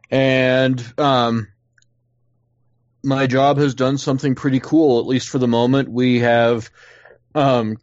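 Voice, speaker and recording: A man chatting casually on a podcast in a relaxed way, with frequent ums. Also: medium pitch. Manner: relaxed, filler-heavy. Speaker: podcast co-host. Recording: dull lo-fi sound.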